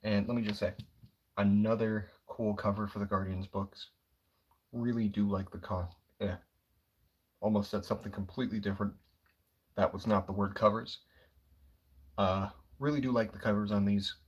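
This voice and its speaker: Quiet young guy streaming, kinda husky, laid back and rambly. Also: fairly low pitch, moderate pace, pauses often. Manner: quiet, relaxed, rambling with many fillers. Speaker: comic book streamer. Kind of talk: casual livestream chat.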